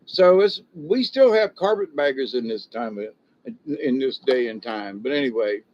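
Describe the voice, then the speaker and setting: An older man with a slight Southern drawl hosts a rambling, folksy livestream and keeps drifting from one story to the next. His voice is mid-pitched and ordinary. The audio is dull and lo-fi. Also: expressive, unhurried, darkish tone. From born in Ohio, raised in Florida and South Georgia. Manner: folksy and digressive, chuckling at his own jokes, mimics others in a high voice. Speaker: livestream host chatting with viewers. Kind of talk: rambling casual chat.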